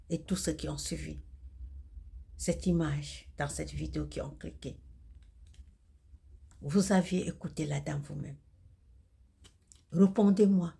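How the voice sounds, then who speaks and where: A Cameroonian woman with a husky, gravelly voice delivers a religious monologue in solemn, declamatory, halting phrases, with a Cameroonian French accent. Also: low-pitched, mellow. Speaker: video host and self-described messenger of God.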